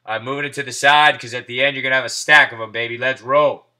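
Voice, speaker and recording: A man hosting a live card break, calling out players and teams in quick succession with hyped asides, his voice getting hoarse. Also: mid-range voice, steady tone, pushed and bright. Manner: rapid-fire name calling, hyped asides. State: losing his voice. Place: indoors at a table.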